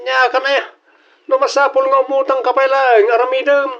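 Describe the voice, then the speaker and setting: An actor with an Ilocano Filipino accent playing a demanding, wheedling young boyfriend in an audio drama, in a lo-fi recording. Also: male voice, very high voice, completely flat delivery, a little fast. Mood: pushy, wheedling.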